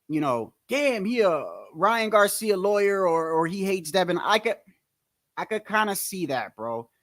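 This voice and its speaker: High-voiced New Jersey man rambling on stream. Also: fairly bright tone, dry recording, clean studio sound. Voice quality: high-pitched voice. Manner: rambling, slangy, repeats himself. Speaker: boxing commentary livestream host. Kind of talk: casual livestream chat.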